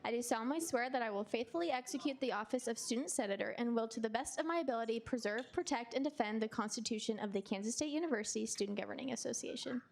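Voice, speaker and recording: A young woman running a student senate swearing-in with relaxed, joking asides and instructions to the room. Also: on the high side, quick pace, vocal fry, few pauses. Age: young adult. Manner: joking, relaxed, directs people. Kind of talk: ceremony hosting, casual asides.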